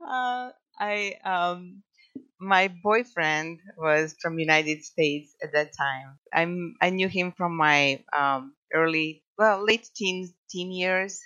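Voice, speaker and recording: Woman, Croatian accent, very low pitch, halting chatty talk, muffled sound. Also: bright, forceful tone. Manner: hesitant, many fillers and restarts, laughs often. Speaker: podcast guest, scientist and nature photographer. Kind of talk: casual interview talk.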